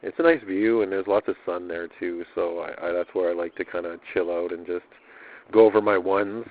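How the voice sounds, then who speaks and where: A man with a deep voice talks through a personal audio log. His delivery is casual, rambling and slangy, circling back over his own phrases. The sound is thin and lo-fi, like a narrow radio channel. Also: fairly even intonation, brisk. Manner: loose, slangy, swearing, repeats himself.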